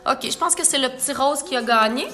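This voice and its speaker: A high, bright-toned woman with a Quebec French accent talks viewers through her makeup in a live tutorial. She is chatty and playful, often reading comments aloud. Soft music plays faintly behind her. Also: a little fast. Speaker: makeup artist.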